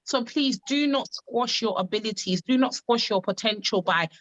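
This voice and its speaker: A woman from Uganda, giving an emphatic, repetitive motivational pitch on a live call. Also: normal speed, a bit bright, dull audio. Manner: emphatic, repetitive, testimony-driven. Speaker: wellness business coach on a live call.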